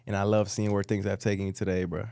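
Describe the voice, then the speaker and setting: A man's voice: on the low side, little pitch movement, quick pace, gravelly, warm tone, smooth, no echo. A man co-hosting a casual sports podcast, talking in slangy, hyped buddy talk. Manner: slangy, hyped, says bro and man often. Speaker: podcast co-host.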